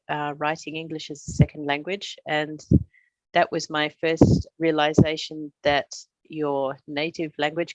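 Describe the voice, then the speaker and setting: A middle-aged woman from Australia, a translator, giving a prepared presentation in a measured, explanatory way with touches of humor. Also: deep voice, no room sound, dull audio.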